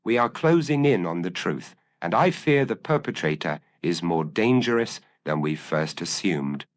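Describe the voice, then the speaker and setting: A man voicing a shrewd, commanding Victorian detective in an audio storybook, speaking formally and deliberately. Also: low voice, expressive. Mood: grave, resolute.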